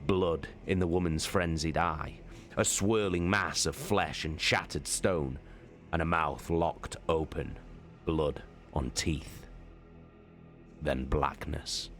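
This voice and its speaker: A man giving an expressive, dramatic reading of a science fiction novel as a live streamer. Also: extremely low pitch, slow pace, faint music behind. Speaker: streamer and reader. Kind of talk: dramatic audiobook narration.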